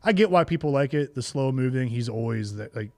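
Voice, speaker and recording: A man's voice: medium pitch, animated, a little fast, mellow. A man co-hosting a podcast, chatting casually. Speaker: podcast co-host. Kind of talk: casual chat.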